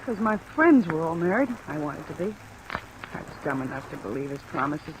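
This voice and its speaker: A disillusioned young-marriage widow in a vintage radio mystery. She sounds resigned and quiet, fairly low for a woman, beneath clearly audible rain on an old recording. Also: big pitch swings, moderate pace, noisy background. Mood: resigned, bitter. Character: weary, disillusioned widowed ranch wife. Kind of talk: old-time radio drama acting.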